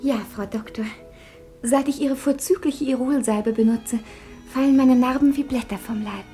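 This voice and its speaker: A woman acts a gushing female patient in an old radio spoof. Her high voice declaims with exaggerated earnest gratitude over faint music. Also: moderate pace, natural voice. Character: gushing female patient in a parody melodrama advert. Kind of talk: 1950s radio parody acting.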